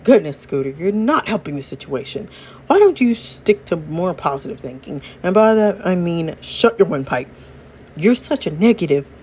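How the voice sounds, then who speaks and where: A woman's voice: on the low side, sing-song, normal speed, darkish tone, slightly rough. Woman as nervous guy, snippy, scolding, silly, comic story read-aloud.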